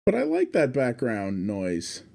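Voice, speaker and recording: A man's voice: mid-range voice, huge pitch swings, measured pace, vocal fry, dark tone. A man giving casual, wry, offhand commentary as he plays through a game on stream. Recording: faint game music behind.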